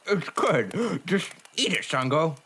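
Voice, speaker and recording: A man's voice: fairly high pitch, big pitch swings, slow pace, bright, forceful tone, slight background noise, heavily acted. A man voicing a brash, blunt young dog demon who grumbles and riles easily, in an anime-style audio drama.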